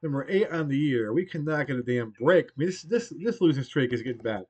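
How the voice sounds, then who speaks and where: A man in his forties with a cold, hoarse and losing his voice, rambling casually through a baseball game replay as host. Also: medium pitch, lively intonation, fairly quick, darkish tone, dull audio. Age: 40s. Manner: rambling, conversational, trailing off.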